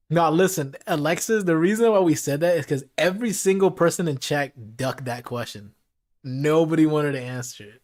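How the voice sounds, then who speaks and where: A man with a fairly high voice chats easily with his live-stream viewers late at night. His voice is hoarse and a little raspy after hours of nonstop talking. He keeps his tone casual and talks straight to the people watching. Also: a little mellow.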